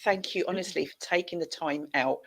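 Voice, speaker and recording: Woman, middle-aged, British accent, low pitch, effusive informal show host, casual live chat on a headset. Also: fairly quick, a bit bright, a little hiss or hum. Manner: effusive, informal, repeats phrases for emphasis. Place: indoor room at home, on a video call.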